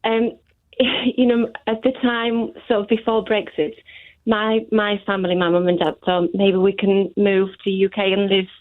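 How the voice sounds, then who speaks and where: A woman from Poland calling in to a radio show, her Polish accent carrying a touch of Leeds. She talks hesitantly, dotting her speech with fillers and small laughs. The call comes through a thin phone line at a middling pitch. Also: flat delivery, a little slow. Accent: Polish with a Leeds tinge. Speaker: caller.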